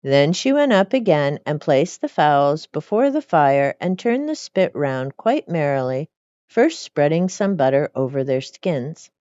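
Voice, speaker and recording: A woman's voice: sits low, very animated, unhurried, dark tone, dry recording, highs cut off. A woman reading a storybook aloud expressively, with casual, chatty asides. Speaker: storyteller reading fairy tales.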